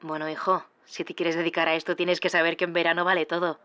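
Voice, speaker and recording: An actress playing a middle-aged female TV production chief, answering an interviewer in a brisk, colloquial way. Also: fairly low pitch, flat delivery, sharp tone, clean tone. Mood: condescending. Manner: colloquial, matter-of-fact, slightly condescending.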